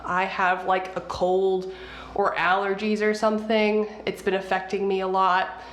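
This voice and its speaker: A woman's voice: mid-range voice, flat delivery, a little slow. A young woman with a stuffed-up nose from a cold, chatting casually as she reviews makeup. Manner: chatty, gushing, many fillers. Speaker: beauty vlogger. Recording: echoey room.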